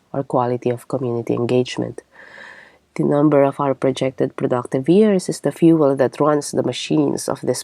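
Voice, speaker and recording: A woman in her thirties hosting a podcast, delivering a reflective scripted monologue in a measured, articulate way. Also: extremely low pitch, creaky, a little mellow, no echo. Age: 30s. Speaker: podcast host.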